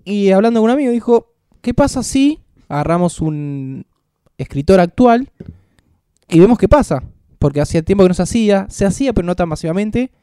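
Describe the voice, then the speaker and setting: An Argentine man co-hosting a podcast, recounting anecdotes with a joking energy. His voice sits a bit high for a man but sounds mellow. The recording is dry and close. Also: lively intonation, fairly quick, smooth, dry recording. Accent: Rioplatense Spanish. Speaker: podcast co-host. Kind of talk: casual podcast chat.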